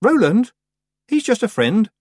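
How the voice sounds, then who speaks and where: A man's voice: high-pitched, sing-song, measured pace, a little mellow, slightly rough, no echo, pitched above the performer's own voice, exaggerated voice. A man doing a guarded young witch girl.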